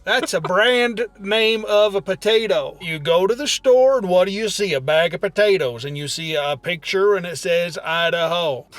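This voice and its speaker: High-pitched folksy man, rural American accent. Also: fairly bright tone, no echo. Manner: folksy, stubbornly insistent. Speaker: comedy podcast co-host. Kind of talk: comic banter, telling tall tales.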